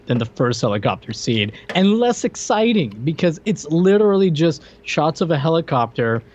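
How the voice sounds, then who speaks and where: A man co-hosting a podcast, chatting in an animated, incredulous way with laughing asides. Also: on the high side, normal speed, slight background noise. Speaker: podcast co-host. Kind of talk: casual chat. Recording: slightly dull lo-fi mic.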